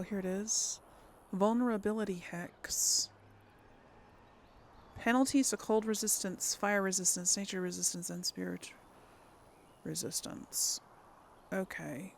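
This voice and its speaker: Slow, creaky-voiced woman gamer thinking aloud. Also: medium pitch, a little hiss or hum. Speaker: gaming video host. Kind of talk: casual let's play commentary.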